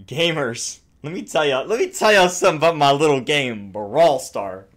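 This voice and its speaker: A man's voice: a little high, lively intonation, normal speed, a bit bright. A young man hosting a gaming channel with casual, jokey, exaggerated reaction commentary full of crude slang. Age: young adult. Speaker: YouTube gaming host. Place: small home room.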